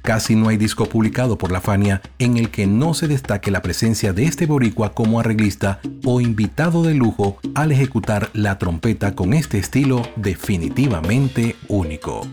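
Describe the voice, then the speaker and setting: Lowish-voiced male documentary narrator. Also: normal speed, over music.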